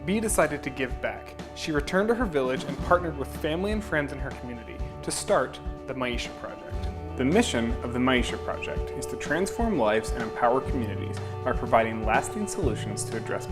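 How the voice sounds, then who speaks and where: Man narrating a charity video over guitar. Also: mid-range voice. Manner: measured, steady delivery. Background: guitar music.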